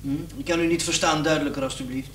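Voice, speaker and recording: A man's voice: fairly high pitch, steady tone, fast, fairly bright tone, natural voice. An actor playing a stern, matter-of-fact male first-aid attendant, curt and officious, in a Dutch radio play. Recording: echoey room, faint noise.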